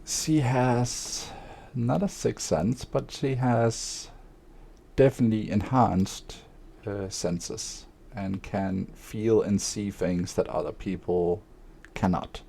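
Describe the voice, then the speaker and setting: A man speaking slowly and evenly in a monotone, with a gravelly, husky low voice. His unhurried, hesitant monologue is dark and mellow in tone. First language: Danish. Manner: hesitant, many fillers. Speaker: streamer and aspiring author. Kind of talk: casual spoken monologue.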